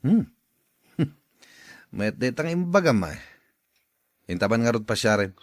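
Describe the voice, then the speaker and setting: A man playing a greedy, calculating eldest son in a radio drama, with an expressive delivery. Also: mid-range voice, unhurried, no room sound, studio quality. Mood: scheming.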